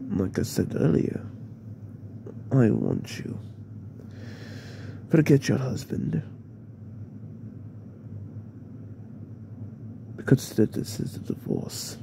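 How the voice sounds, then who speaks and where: A possessive, threatening mafia boss, acted out by a man in an ASMR roleplay. He murmurs slowly in a low voice full of heavy fry, breaking his phrases with deliberate pauses. The recording has clear background noise. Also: exaggerated voice. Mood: cold, possessive. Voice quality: breathy, gravelly murmur. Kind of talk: ASMR roleplay, slow and half-whispered. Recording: noisy, with steady background rumble.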